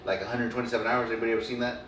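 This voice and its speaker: A man's voice: mid-range voice, flat delivery, very fast, fairly bright tone. A man telling long rambling stories in casual chat, full of fillers and swearing. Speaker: podcast co-host. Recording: roomy, distant mic, faint room noise.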